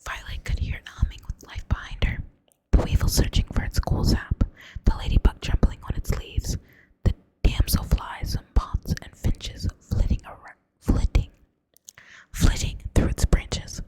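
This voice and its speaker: A woman with a Southern US accent reading a novel aloud in a soft whisper, now and then stumbling over words and correcting herself. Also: measured pace, rough recording. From Southern United States. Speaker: reader.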